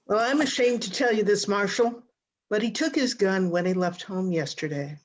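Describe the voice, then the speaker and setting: A woman's voice: mid-range voice, moderate pace, natural voice. A woman playing a worried, hard-up frontier widow in a radio western, with plain rural, hesitant, apologetic speech. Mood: worried, pleading. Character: worried, starving frontier widow searching for her son. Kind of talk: old-time radio western acting. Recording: dull, lo-fi video-call audio.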